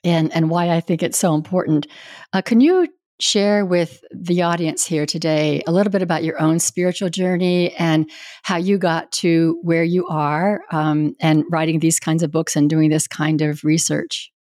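Low-voiced woman podcast host, dry sound. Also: fairly even intonation, moderate pace, a little mellow, no echo. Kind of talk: scripted intro then casual interview. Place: home in Wisconsin, USA.

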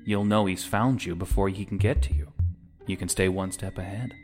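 A man's voice: low voice, fairly even intonation, normal speed, a little mellow, close to an everyday voice. An actor playing a wry young would-be freedom fighter in a sci-fi audio drama, talking in a casual, sardonic way as he bargains. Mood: earnest, bargaining. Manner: casual, sardonic, informal wording. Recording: music under the voice.

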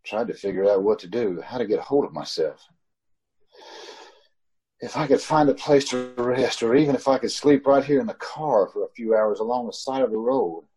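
Man acting a haunted driver, husky and mellow. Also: mid-range voice, normal speed, lightly acted, low-quality recording. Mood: haunted, grave. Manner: dramatic, pleading, haunted. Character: desperate, haunted male driver narrating his terror. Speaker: actor in an old-time radio troupe. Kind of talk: radio suspense drama narration. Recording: amateur video call recording.

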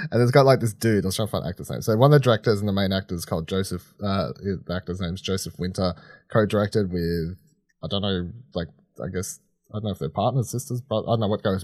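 Low-voiced man rambling through a casual review. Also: no room sound, clean studio sound. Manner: rambling, repeats like and sort of. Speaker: podcast co-host reviewing films.

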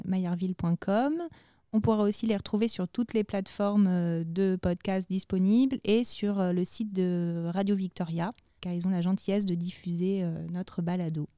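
A woman from the podcast team speaking in a halting way with many fillers. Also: medium pitch, warm tone, no echo, studio quality. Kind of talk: casual podcast chat.

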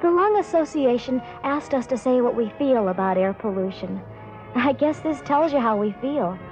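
A woman's voice: fairly high pitch, animated, moderate pace, darkish tone. A woman making a plain-spoken appeal in a public service announcement. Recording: dull lo-fi radio spot over music. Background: music.

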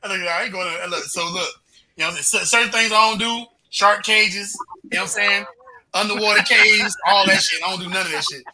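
A man's voice: sits high, brisk, bright, forceful tone, poor audio. A man in casual podcast conversation, speaking in loose slang and often checking in with his listeners. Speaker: podcast panelist. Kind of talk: casual chat.

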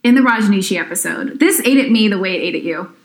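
Woman podcast cohost, bit scratchy w some vocal fry, laughs a lot, echoey room. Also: mid-range voice, lively intonation, fast talker. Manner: laughs often, swears casually. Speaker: podcast co-host. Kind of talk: casual podcast chat. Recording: roomy echo.

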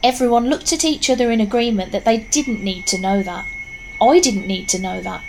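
A woman's voice: on the high side, brisk, noisy background, close to an everyday voice. A woman narrating a horror audio drama as a wry storyteller. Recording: faint outdoor ambience behind.

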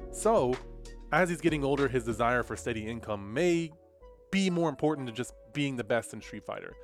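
A man with a stuffy, slightly nasal voice talks through his thoughts in a loose, rambling way, full of little fillers and restarts. His pitch sits in an ordinary middle range. Faint music plays quietly underneath. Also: expressive, normal speed. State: stuffy nose. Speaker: fighting game commentator and content creator. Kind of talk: casual commentary talking to camera.

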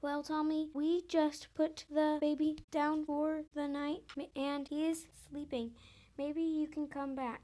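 High-voiced, polite young mother, acted by a female performer. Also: little pitch movement, unhurried, dark tone. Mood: polite, hesitant. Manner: polite, pleading. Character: polite young mother, weary and increasingly exasperated. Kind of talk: amateur comic radio play.

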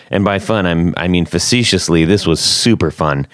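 Low-voiced man hosting a casual chat. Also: normal speed, fairly bright tone, no echo, clean studio sound. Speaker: podcast host, interviewer.

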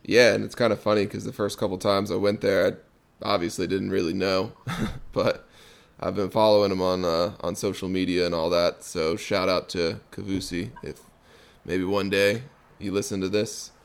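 Laid-back podcast host, low voice. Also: male voice, normal speed, creaky. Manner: relaxed, many ums and restarts. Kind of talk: casual interview chat.

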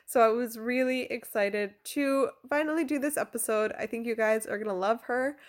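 Woman, twenties, podcast host, higher-pitched voice, chatty, informal, self-deprecating. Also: normal speed. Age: 20s. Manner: informal, self-deprecating, given to giggling asides. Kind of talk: chatty podcast intro and interviewing.

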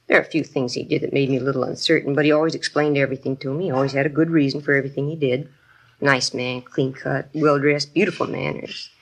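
A woman's voice: rumbling low, fairly even intonation, fast talker. An American actress playing a flustered, genteel, trusting woman shopkeeper in a 1950s radio drama, polite and fretful. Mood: trusting, troubled.

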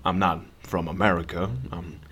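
A man's voice: deep voice, flat delivery, moderate pace, fairly bright tone, husky. A young man from Serbia, hosting a podcast in a sarcastic, profane, blunt rant. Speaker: podcast host. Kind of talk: ranting monologue.

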